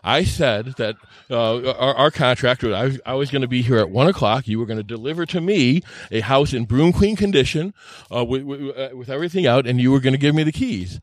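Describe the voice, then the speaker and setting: Halting male storyteller, close dry sound. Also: medium pitch, dry recording. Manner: halting, repeats and stammers over words. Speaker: podcast storyteller. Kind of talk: casual anecdotal storytelling chat.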